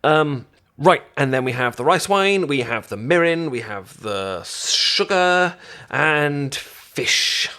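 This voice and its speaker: British male, unhurried and stammering, acting an eager, chatty best friend for ASMR roleplay. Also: fairly high pitch, expressive, bright, forceful tone, exaggerated voice. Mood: eager, instructive. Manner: halting, stammering, rambling. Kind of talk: ASMR roleplay, casual chat.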